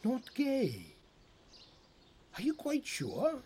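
A woman's voice: high voice, huge pitch swings, drawn-out words, dark tone, slight background noise, heavily acted. A woman acting a blunt, baffled, old-fashioned middle-aged British father in an audio play, blustering and incredulous.

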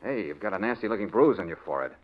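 An actor playing a brisk, tough but kindly private detective in a 1940s radio drama, asking clipped, informal questions. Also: male voice, fairly low pitch, very animated. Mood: gentle concern. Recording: muffled vintage radio recording.

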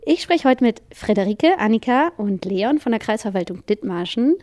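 A woman's voice: fairly high pitch, expressive, moderate pace, darkish tone, faint music behind. A woman hosting a podcast, asking friendly follow-up questions. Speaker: podcast host. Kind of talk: podcast interview.

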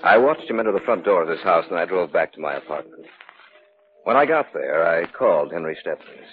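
An actor playing a steady, protective man who narrates a vintage radio drama. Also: low voice, brisk, soft background music. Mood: grave, recounting. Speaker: narrator and lead character. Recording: dull vintage radio recording.

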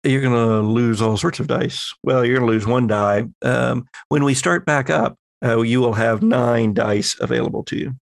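A man's voice: medium pitch, normal speed, vocal fry, few pauses, no room sound, clean studio sound. A man acting as game master, narrating and refereeing a tabletop horror roleplaying game in a conversational way.

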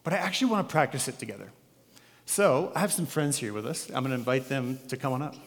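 A male pastor introduces his guests in a relaxed, friendly, conversational way. His voice sits in an ordinary middle range, carried by a long hall reverb. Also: mid-range voice, fast talker. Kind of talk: sermon introduction. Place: church sanctuary.

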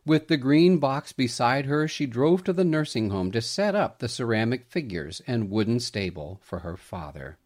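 A man narrating a scripted family story in a gentle, dramatic, sentimental manner. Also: mid-range voice, big pitch swings, normal speed, hardly any pauses.

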